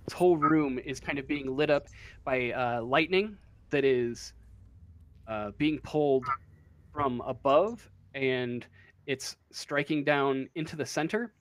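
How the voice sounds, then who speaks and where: Guy game mastering a tabletop rpg, talks slow and halting, casual, medium pitch, making it up as he goes. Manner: halting, searching for words, casual. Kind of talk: improvised narration of a tabletop game.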